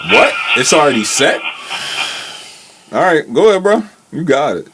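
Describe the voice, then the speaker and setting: A man's voice: a little high, sing-song, moderate pace, very noisy. An American man co-hosting a casual sports podcast in a studio, talking in a teasing, slangy, profane way. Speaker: podcast co-host.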